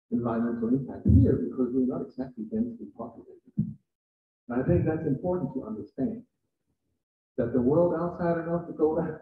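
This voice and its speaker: Guy presenting to a university crowd, chatty, asks the room stuff, far off mic, thin phone-ish sound. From Denmark. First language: Danish. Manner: conversational, quizzes the audience. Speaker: guest presenter. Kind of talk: lecture presentation. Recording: distant, roomy, tinny with faint rumble.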